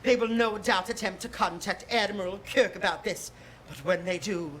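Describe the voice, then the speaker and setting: A woman's voice: mid-range voice, moderate pace, a little hiss or hum, lightly acted. A woman playing a grandiose, scheming male starship villain in a theatrical musical parody, pompous in delivery. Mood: gloating. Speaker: stage actor in a musical parody. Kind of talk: theatrical comic musical reading. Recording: live stage reading with music.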